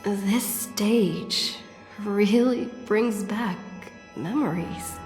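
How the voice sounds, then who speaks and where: An actress playing a pensive, earnest young heroine in a video game, talking in a hushed, introspective manner. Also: female voice, mid-range voice, drawn-out words. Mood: wondering. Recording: music under the voice.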